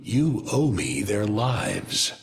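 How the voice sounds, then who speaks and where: An actor playing an ancient, vengeful alien destroyer of worlds in a video game, a betrayed father who speaks in slow, solemn, menacing and grandiose pronouncements. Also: on the low side, sing-song, full character voice. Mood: grim, resolute. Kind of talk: dramatic video game villain monologue. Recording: long cavernous reverb.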